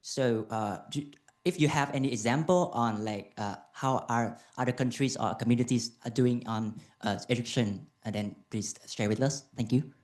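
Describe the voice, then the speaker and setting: Guy in the audience, lots of uh's, slow question. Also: medium pitch, a little mellow. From Vietnam. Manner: halting, heavy fillers.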